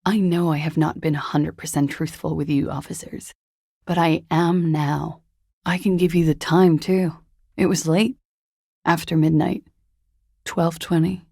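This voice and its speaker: An actress playing a weary, guarded young woman on the run in a video game, speaking in a hesitant, subdued, confessional way with a breathy, gravelly voice. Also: little pitch movement, measured pace, dark tone, dry recording, studio quality, exaggerated voice. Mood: weary, confessing. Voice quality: low, breathy, husky and gravelly. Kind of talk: video game character dialogue, intimate and understated.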